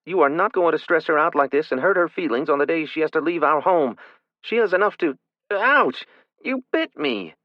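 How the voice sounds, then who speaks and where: A man voicing a furious, bossy teenage girl who yells and scolds her little sister. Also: sits high, huge pitch swings, extremely slow, no echo, dull audio, voice pushed up, heavily acted. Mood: scolding. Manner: yelling, cussing, scolding.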